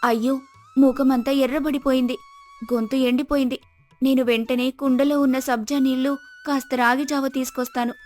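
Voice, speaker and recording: A woman's voice: high voice, flat delivery, fairly quick, a little mellow, lightly acted. A woman voicing a kind village wife with a nurturing, respectful manner in a cartoon moral story. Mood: concerned, caring. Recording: music behind the voice. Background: music.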